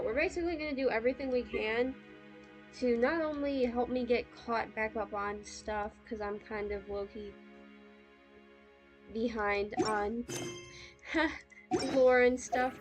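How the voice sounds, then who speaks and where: A woman livestreamer talking casually to her chat while playing a game, chatty and informal. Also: on the high side. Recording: game music behind the voice. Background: video game music.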